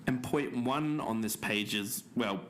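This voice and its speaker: A man's voice: medium pitch, a little slow, fairly bright tone, a little hiss or hum, natural voice. A man playing a podcast investigator and believer, earnest and slightly hesitant as he discusses and reads out notes. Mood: earnest, reflective. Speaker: podcast co-host. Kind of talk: podcast discussion and journal reading.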